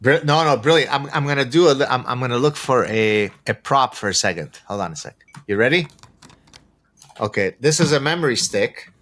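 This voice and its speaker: A man whose first language is Arabic, explaining ideas as a podcast guest in a deliberate, professorial way with rhetorical questions. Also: mid-range voice, lively intonation, a bit bright. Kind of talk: conversational explanation with examples.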